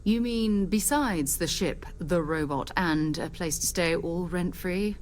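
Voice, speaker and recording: An actress playing a seasoned, principled space explorer captain in a video game, speaking in a measured, earnest, authoritative way. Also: female voice, on the low side, slight background noise, close to an everyday voice. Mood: reassuring, businesslike. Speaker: expedition leader. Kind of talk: video game character dialogue.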